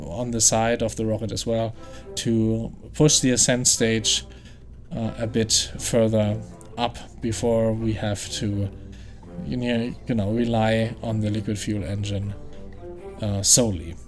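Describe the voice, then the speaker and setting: A man's voice: lowish voice, little pitch movement, measured pace, faint music behind. German-accented man narrating gameplay. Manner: explanatory, chatty, thinks aloud with fillers and restarts. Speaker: gaming channel host. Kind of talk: game playthrough commentary.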